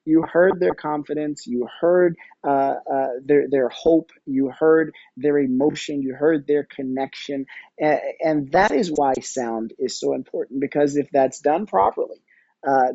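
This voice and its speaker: Mellow, halting man in a dull, dry recording. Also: mid-range voice, dry recording. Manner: discursive, halting, thinking aloud. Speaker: podcast guest, audio storytelling company executive. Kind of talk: conversational interview answers.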